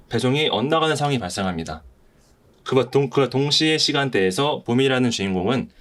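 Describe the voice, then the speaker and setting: A man hosting a podcast, talking politely and haltingly in a semi-prepared way about a play. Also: medium pitch, fairly bright tone. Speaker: podcast host.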